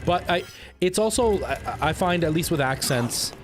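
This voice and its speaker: A man's voice: on the high side, animated, normal speed. A man in his thirties from El Salvador with a light Canadian accent, chatting casually with viewers on a live stream, rambling with many restarts. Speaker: livestreamer. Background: faint music.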